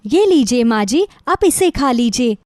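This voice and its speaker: Woman playing a high-voiced, kind young food seller. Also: sing-song, measured pace, darkish tone, no echo, clean studio sound. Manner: sweet, polite, eager. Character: kind, cheerful young daughter selling khichdi.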